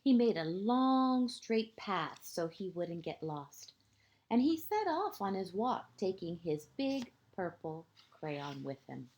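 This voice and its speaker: A woman reads a children's storybook aloud in the manner of a kindergarten teacher. Her delivery is slow and deliberate, with highly varied intonation. She leaves dramatic pauses and leans on key words for young listeners. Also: sing-song, darkish tone, highs cut off. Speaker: transitional kindergarten educator reading a picture book to pupils.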